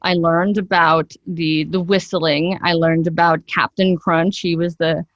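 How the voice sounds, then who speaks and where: A woman with a lowish voice talks as an interview guest, chatty and keen to explain. The sound is dry and close, and her delivery is conversational and enthusiastic. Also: level tone, measured pace, no room sound, dull audio.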